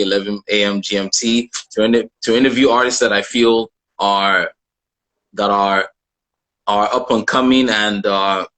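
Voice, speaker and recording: A man's voice: on the low side, fairly bright tone, low-quality recording. A man hosting a casual live interview show, speaking hesitantly and often repeating his phrases.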